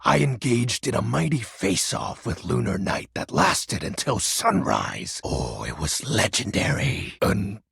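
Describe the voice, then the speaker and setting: Brooding dark lord, grandiose, slow delivery, very raspy, archaic theatrical phrasing, video game villain style. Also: male voice, fairly low pitch, very animated, no echo, clean studio sound, heavily acted. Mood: grandly nostalgic. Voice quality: gravelly, husky. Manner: pompous, archaic, melodramatic. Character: grandiose brooding shadow lord, personification of darkness.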